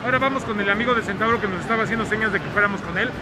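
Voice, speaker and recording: A high-voiced man with a Mexican Spanish accent hosts his vlog in a packed expo hall, talking loudly and cracking crude jokes. Heavy crowd chatter and noise surround him. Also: very fast. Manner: joking, crude slang, loud. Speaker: vlog host. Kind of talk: casual chat at a beer expo.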